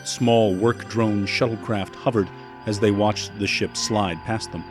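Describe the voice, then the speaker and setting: A man's voice: lowish voice, steady tone, unhurried. A man narrating a scripted science fiction story in a dramatic storytelling style. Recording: loud music bed behind narration.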